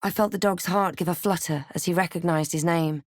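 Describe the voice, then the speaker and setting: A thoughtful female adventurer from a fantasy game, acted by a woman. Her voice sits a little low and is recorded very dry. She speaks in a measured, wry way, dropping at times to a hush. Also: steady tone, no echo, lightly acted. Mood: hushed, solemn narration. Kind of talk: scripted game character lines and narration.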